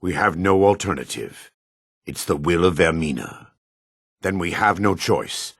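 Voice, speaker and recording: An actor playing a solemn, world-weary dark elf man in a fantasy video game, with a raspy, measured, theatrical delivery. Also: deep voice, lively intonation, dry recording, clean studio sound, full character voice. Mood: solemn, resolute.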